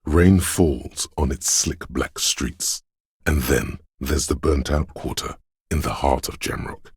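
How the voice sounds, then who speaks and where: Ominous all-knowing inner voice for a game, super gravelly, close dry sound, slow solemn poetic narration. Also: male voice, no room sound, full character voice. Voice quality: gravelly, husky bass. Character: brooding, omniscient inner voice narrating a city's shivers. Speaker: voice actor narrating a video game. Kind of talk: slow literary second-person narration.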